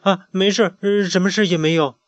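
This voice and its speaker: A man voicing a chubby, jumpy young chicken boy in a bedtime storybook, high and frantic. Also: steady tone, a little fast, no echo, highs cut off, natural voice. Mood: frightened.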